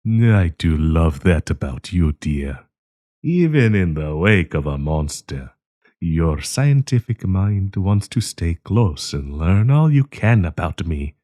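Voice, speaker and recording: A man playing a sinister, possessive werewolf professor in an intimate audio roleplay, coaxing and menacing, with a husky, breathy voice. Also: deep voice, sing-song, warm tone, no echo, clean studio sound, exaggerated voice. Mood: taunting, tender. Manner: slow, coaxing, menacing endearments.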